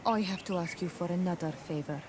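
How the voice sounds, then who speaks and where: A woman acting a grave, low-voiced tribeswoman. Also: noisy background, natural voice. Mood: earnest, concerned. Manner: grave, deliberate, formal. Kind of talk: fantasy video game cutscene acting.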